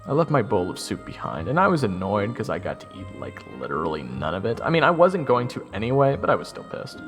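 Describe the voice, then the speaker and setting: A man's voice: lowish voice, wildly swooping pitch, fast, a bit bright, soft background music. A man playing a weary, sarcastic young soldier who tells his story in a casual, exasperated way in an audio drama. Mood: wry, weary. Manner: wry, exasperated, casual first-person storytelling. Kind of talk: audio roleplay narration and dialogue.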